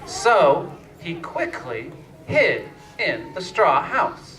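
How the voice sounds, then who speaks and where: A man narrating a scripted fairytale slowly and deliberately, with pauses, as a storyteller. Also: fairly high pitch, sing-song, a bit bright. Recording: echoey room, distant mic, faint noise.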